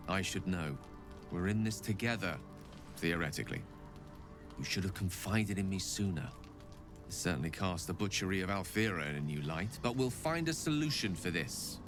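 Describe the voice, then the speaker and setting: An actor playing a witty male wizard in a video game, speaking politely and articulately, with dry humor. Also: low voice. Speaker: game character.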